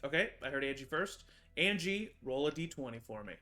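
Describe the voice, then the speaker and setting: A man's voice: a little high, animated, bright, forceful tone, faint music behind. A young man narrating a tabletop game as game master, hesitant and full of fillers. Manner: halting, many fillers and restarts.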